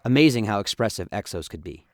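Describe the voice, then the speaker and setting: A man's voice: lowish voice, expressive, quick pace, darkish tone, dry recording. A man giving scripted, dramatic narration of a story as the narrator of a radio play.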